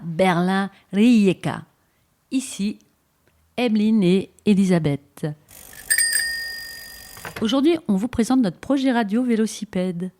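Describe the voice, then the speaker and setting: Lively woman presenting a radio project. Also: mid-range voice. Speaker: co-host of a bicycle radio project.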